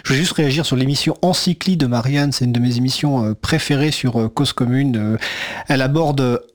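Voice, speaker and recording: A man's voice: mid-range voice, fairly quick. A man co-hosts a radio show and works the studio console. He talks loosely and casually, repeating words and leaning on fillers. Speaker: radio host and studio technician.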